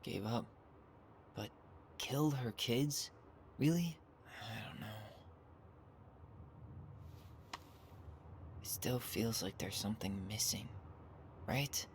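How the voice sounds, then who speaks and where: An actor playing a supportive, earnest young adult brother with an American accent in a naturalistic video game cutscene. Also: male voice, mid-range voice, measured pace, pauses often, slight background noise, natural voice. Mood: doubtful, somber.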